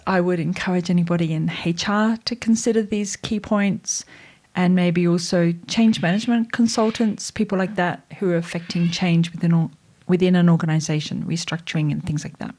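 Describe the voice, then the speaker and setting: Slightly croaky Australian woman explaining. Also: fairly low pitch. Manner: conversational, wry asides, steady explaining. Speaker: educator on careers and AI. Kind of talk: solo explainer video.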